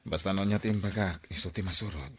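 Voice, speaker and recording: A man's voice: deep voice, fast, breathy edge. A man playing a devoted, protective young boyfriend in an audio drama, earnest and coaxing. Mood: affectionate, protective.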